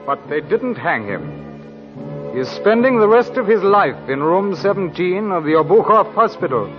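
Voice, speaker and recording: A man playing a young gentleman, a gambler's accusing friend, dramatic and urgent in 1940s radio acting style. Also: high voice, big pitch swings, measured pace, music in the background. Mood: somber. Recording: dull 1940s radio recording.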